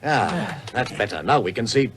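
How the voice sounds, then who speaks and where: An actor playing a commanding special agent with a clipped British RP accent in an old radio serial. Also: male voice, mid-range voice, animated, normal speed, fairly bright tone, a little hiss or hum, close to an everyday voice. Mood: brisk, composed. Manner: clipped, decisive, threatening. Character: brisk, commanding male special agent hero. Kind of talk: 1940s-style radio serial acting.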